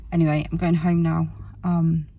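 A woman's voice: low voice, level tone, moderate pace, distant mic, muffled recording. A woman in her forties with a British accent chats casually to camera from a parked car, rambling and searching for words. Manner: rambling, word-searching, self-correcting. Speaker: family vlogger.

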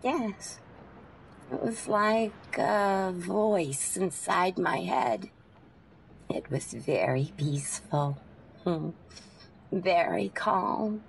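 An actress playing a polite, serene and eccentric taxi passenger, gentle and hesitant, in old-time radio style. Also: female voice, lowish voice, animated, extremely slow, creaky, pauses often, slight background noise, full character voice, low-quality recording.

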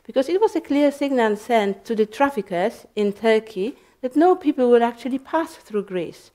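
A woman answering as a panelist in a library discussion, thoughtful and hesitant, with many pauses and restarts. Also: on the high side, expressive, warm tone. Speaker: panelist, researcher. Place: library event room.

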